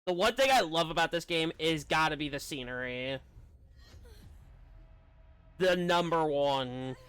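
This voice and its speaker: A man's voice: on the high side, a little slow, a bit bright, smooth. A man giving casual live commentary as he streams a game playthrough.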